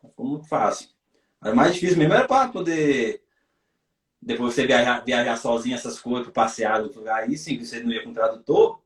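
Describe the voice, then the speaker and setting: A man's voice: mid-range voice, expressive, fairly quick. A Brazilian man, a footballer, talking casually in an interview, rambling and repeating himself with many restarts. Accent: Brazilian Portuguese. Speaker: footballer guest. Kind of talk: casual interview over a live stream. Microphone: video call. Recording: roomy and far from the mic.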